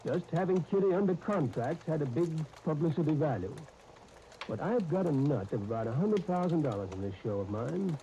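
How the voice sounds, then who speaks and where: An actor playing an apologetic, hard-pressed theatrical producer in a 1930s radio drama, hesitant and self-justifying. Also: male voice, a little high, lively intonation, fairly quick, dark tone. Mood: apologetic, strained. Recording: old 1930s radio disc, crackling noise.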